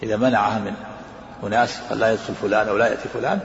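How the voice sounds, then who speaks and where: Fast gravelly male lecturer in a hall. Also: on the low side, little pitch movement, a little hiss or hum. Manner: rapid, explanatory, repeats points. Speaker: scholar teaching hadith. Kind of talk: lecture explaining texts. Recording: echoey hall, dull lo-fi sound.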